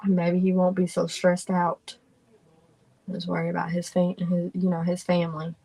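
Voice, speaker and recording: A young adult woman hosting a livestream in a relaxed, drawling, chatty way. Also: on the low side, moderate pace, vocal fry, low-quality recording. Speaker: streamer and host. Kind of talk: casual livestream chat.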